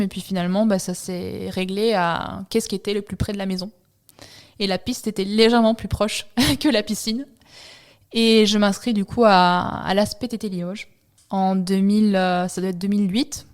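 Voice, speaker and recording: A woman in her thirties from France, telling her own story in an interview, relaxed and reflective with many hesitant pauses. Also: mid-range voice, smooth. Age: 30s. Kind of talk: personal testimony interview.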